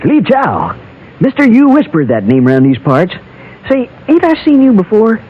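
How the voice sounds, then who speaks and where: A gossipy frontier barber with a high voice that leaps up and down. He has a rustic Western American drawl and a folksy, colloquial way of spinning a tale. It sounds like a muffled old radio Western. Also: huge pitch swings, normal speed, husky, exaggerated voice. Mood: amused indignation. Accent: rustic American West. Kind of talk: old-time Western radio drama acting.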